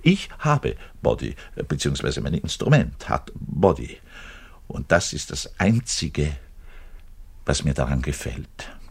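An animated, grumbling double bassist. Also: male voice, takes it slowly, gravelly, husky, heavily acted. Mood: emphatic, boastful. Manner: digressive, emphatic, grumbling. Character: embittered, pedantic male orchestral double bass player, ranting and lecturing. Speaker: actor in a radio monologue. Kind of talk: conversational radio-play monologue addressed to the listener.

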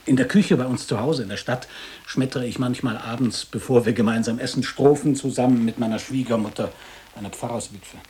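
A middle-aged man playing a nostalgic former boarding-school pupil in a radio drama, speaking in a reflective, deliberate, literary way. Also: medium pitch, fairly even intonation, darkish tone, husky. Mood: reminiscing.

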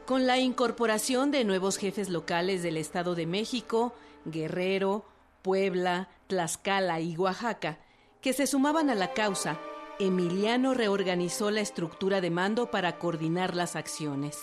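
A woman's voice: mid-range voice, unhurried. A woman with a Mexican accent narrating history from a script in a radio drama. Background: music.

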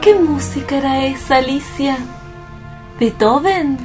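Young woman, high pitch, slow pace, weary, hesitant, anxious, radio play. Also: music in the background. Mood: pensive. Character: fragile, weary young woman recovering from near-drowning, anxious and secretive. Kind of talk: radio theatre dramatic reading.

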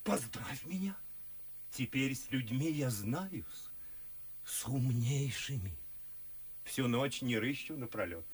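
An actor playing an effusive, foolish socialite in a radio verse comedy, rambling in melodramatic exclamations. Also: male voice, mid-range voice, expressive, slow, pauses often, breathy edge. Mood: conspiratorial. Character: effusive foolish tipsy socialite, self-abasing braggart.

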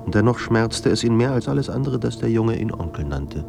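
An actor playing a sombre literary narrator in a radio drama, speaking in a measured, grave manner. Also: male voice, fairly low pitch, expressive, vocal fry, music in the background. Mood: subdued. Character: sombre literary narrator of a troubled writer's life.

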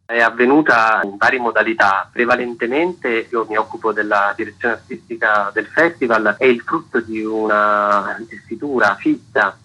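Bright, slightly husky man, fairly low. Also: normal speed, hardly any pauses, rough recording. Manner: articulate, formal, long winding sentences. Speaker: festival artistic director, interview guest. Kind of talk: prepared interview answers.

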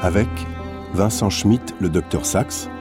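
An announcer reading out the credits in a measured, formal way. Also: low-pitched, over music.

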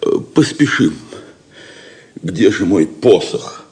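Timid old man, low voice, animated intonation, fretful, wheedling, audio drama. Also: takes it slowly. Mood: anxious, grateful. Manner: fretful, hesitant. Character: timid, wheedling old traveller pleading poverty.

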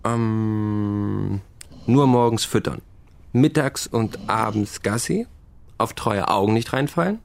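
A man's voice: lowish voice, slow, creaky, a little mellow. An actor in a German radio drama playing a cheeky young man who talks in a playful, teasing way.

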